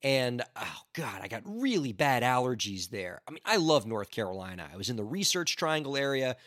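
A man hosting his own podcast in an upbeat, chatty and earnest way, his voice hoarse from laryngitis. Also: mid-range voice, sing-song, moderate pace, a bit bright, no room sound, studio quality. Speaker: podcast host. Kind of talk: casual solo podcast talk.

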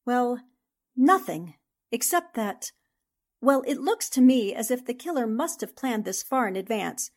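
An actress playing a brave, sharp young newspaper reporter in a 1940s-style radio mystery, speaking in an earnest, theatrical way. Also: female voice, a little high, very animated, unhurried, heavily acted. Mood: puzzled, uneasy.

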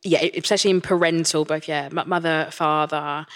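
A woman with a low-pitched voice hosts a live podcast on stage. She talks in a casual, chatty way and is quick to agree with her guest. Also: fairly even intonation. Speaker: podcast host.

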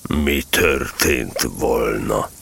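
A man voicing a gloomy old grey donkey in a storybook, with a gravelly, husky voice and a slow, mournful, brooding delivery. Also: a bass voice, fairly bright tone, a little hiss or hum, pitched below the performer's own voice, exaggerated voice. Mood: mournful, resigned.

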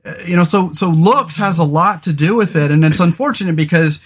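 A man in his forties talks through a casual podcast chat, his voice sitting a little high for a man. He rambles, doubles back on himself and fills gaps with "um" and "you know," dropping the odd curse along the way. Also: brisk, breathy edge. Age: 40s. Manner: rambling, many fillers and restarts, swears casually. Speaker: podcast host.

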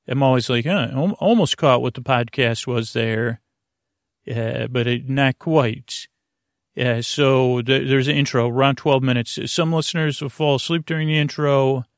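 A man with a middle-pitched, gravelly voice hosts a bedtime podcast in a very dry-sounding recording. He rambles haltingly, breaking off his own sentences and wandering from one digression into the next. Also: no echo. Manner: meandering, halting, self-interrupting with trailing digressions. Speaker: host of a sleep podcast.

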